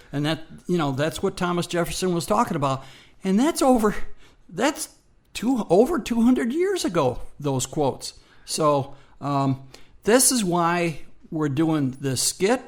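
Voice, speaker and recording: A man's voice: high voice, sing-song, a little slow. A man co-hosting a radio program with conversational commentary, emphatic and given to repeating phrases. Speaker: radio show co-host.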